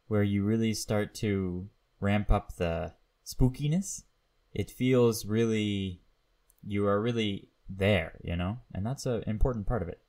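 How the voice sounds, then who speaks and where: A man's voice: fairly low pitch, darkish tone. A man hosting a podcast interview in an informal, agreeable way with slightly hesitant phrasing. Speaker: podcast host. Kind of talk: casual interview.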